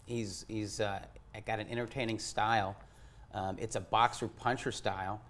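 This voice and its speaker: A man giving casual sports analysis as a panelist, thinking his points through in a halting, analytical way. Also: on the low side, steady tone, a bit bright. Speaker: boxing magazine editor, panelist.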